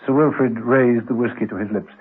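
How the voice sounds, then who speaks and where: A man's voice: medium pitch, fairly even intonation, fairly quick, noisy background. A man narrating a scripted radio drama as its storyteller. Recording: muffled vintage radio, faint music.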